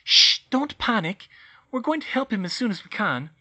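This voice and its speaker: A man voices a clever teenage schoolgirl witch in a storybook, lifted high and bright. She is bossy and earnest, speaking in an organised, insistent way. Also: very animated, moderate pace, heavily acted. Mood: urgent, reassuring.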